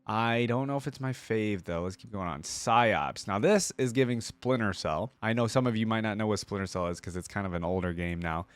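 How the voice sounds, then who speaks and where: A man with a fairly low voice hosts a reaction video in casual, slangy commentary. He thinks out loud in an informal way, and the recording is dry and close, with little room sound. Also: a little fast, hardly any pauses, smooth, no echo.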